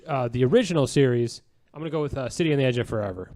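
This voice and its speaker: A man's voice: medium pitch, lively intonation, rapid-fire, a little mellow, no room sound, clean studio sound. A man chatting casually as a podcast host. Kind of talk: casual chat. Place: podcast studio.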